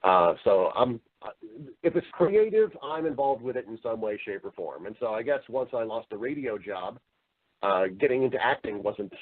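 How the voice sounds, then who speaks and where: A man's voice: mid-range voice, big pitch swings, moderate pace. American man chatting over a phone-like connection. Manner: chatty, rambling, often repeating words. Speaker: interview guest, voice actor and entertainer. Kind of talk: casual storytelling in an interview. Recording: muffled, narrow phone-like sound.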